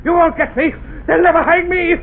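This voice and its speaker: An actor playing an insane, murderous doctor who has been cornered, in a 1930s radio drama, with a gravelly, manic voice. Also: male voice, very high voice, little pitch movement, fairly quick. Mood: frantic. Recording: tinny noisy 1930s radio broadcast.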